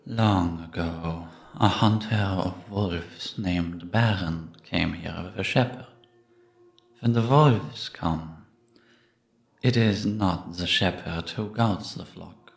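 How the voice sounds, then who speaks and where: Man playing a slow, deep ghostly old priest. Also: creaky, exaggerated voice. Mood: curious, probing. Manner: slow, measured, solemn. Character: ghostly old priest, serene, curious, gently probing. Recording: echoey stone-room reverb.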